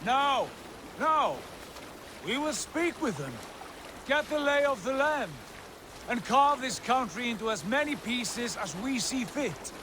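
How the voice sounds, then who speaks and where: An actor playing a wary, commanding Viking leader in a video game cutscene, measured and authoritative. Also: male voice, extremely high pitch, sing-song, noisy background, full character voice. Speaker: leader of a raiding crew. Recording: loud music and water behind dialogue. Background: game music, water, boat sounds.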